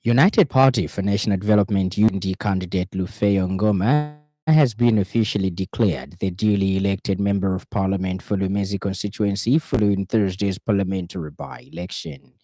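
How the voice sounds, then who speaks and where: A man with a Zambian accent reading a radio news bulletin in a formal, measured way from a studio. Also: on the low side, mellow, dry recording.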